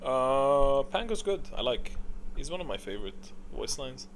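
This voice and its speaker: A man's voice: medium pitch, very animated, a little slow, slight background noise. A man giving casual, unscripted video commentary in a hesitant, rambling, stop-start way. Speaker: video host ranking game voice lines.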